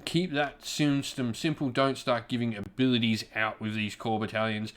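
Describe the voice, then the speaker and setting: A man with a medium-pitched voice hosts a gaming channel. He talks in an informal, conversational style, offering commentary and speaking straight to his viewers. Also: steady tone, normal speed, fairly bright tone. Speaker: YouTube gaming channel host. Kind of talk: casual opinion commentary to viewers.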